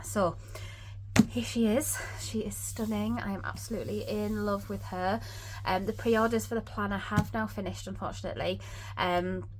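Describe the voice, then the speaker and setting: A woman's voice: lowish voice, poor audio. Woman vlogging about budgets, bit sniffly from a cold, lots of ums, faint hum behind. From Northeast England. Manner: chatty, many ums, upbeat. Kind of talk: casual vlog chat.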